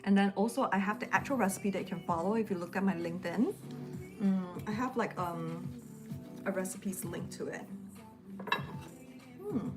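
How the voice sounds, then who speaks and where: A woman's voice: medium pitch, moderate pace, pauses often. Chatty woman livestreaming over lo-fi music. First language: English. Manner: relaxed, rambling chatter while packing and snacking. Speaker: home cook livestreamer. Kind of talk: casual live-stream chat. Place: home, indoors. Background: lo-fi background music.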